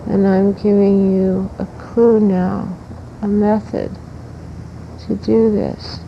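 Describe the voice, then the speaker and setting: A woman speaks as a spiritual teacher in an extremely slow, deliberate way, breaking her thoughts into short phrases. Her delivery stays very even, with a gravelly creak in the voice. It comes from a muffled old cassette with noise and rumble. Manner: deliberate, instructive, halting phrases.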